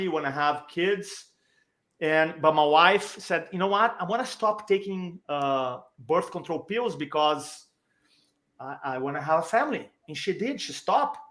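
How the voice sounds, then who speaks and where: Brazilian guy, native portuguese speaker, kinda high voice, telling his story in short phrases like a motivational coach. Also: fairly bright tone. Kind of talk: conversational personal storytelling.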